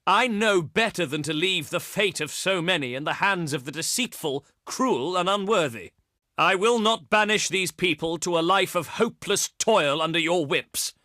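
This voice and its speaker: A proud, scornful Greek envoy from antiquity in a strategy game. His voice sits high and rings out sharp and forceful, swinging widely as he declaims. The delivery is pompous and dripping with sarcasm. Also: expressive, normal speed, no echo, studio quality. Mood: contemptuous refusal. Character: haughty, scornful ancient Greek diplomat, male. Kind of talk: theatrical video game diplomacy lines.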